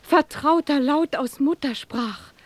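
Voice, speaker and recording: An actress playing a stout, effusive, homesick nursemaid in a rhymed fairy-tale drama, swooning and melodramatic. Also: female voice, high-pitched, takes it slowly, no room sound. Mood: swooning surprise.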